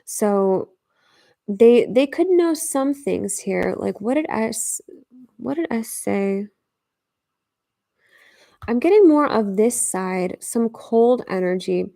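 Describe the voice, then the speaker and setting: A woman's voice: on the high side, lively intonation, takes it slowly, vocal fry, long pauses, mellow, no room sound, clean studio sound. A woman doing a live tarot reading in a chatty, think-aloud way, with many fillers and some whispered asides. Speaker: tarot reader.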